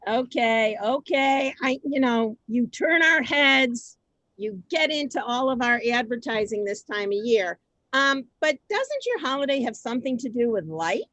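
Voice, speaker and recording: A woman with a high voice moderates a community show on a video call. She is flustered and halting, repeats herself, and sounds close and dry. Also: expressive, fairly bright tone, dry recording, low-quality recording. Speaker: host of a community reader's theater show. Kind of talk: semi-scripted moderating.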